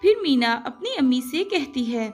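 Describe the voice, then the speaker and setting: High-pitched woman narrating a children's story. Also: very animated, moderate pace, a little mellow, faint music behind.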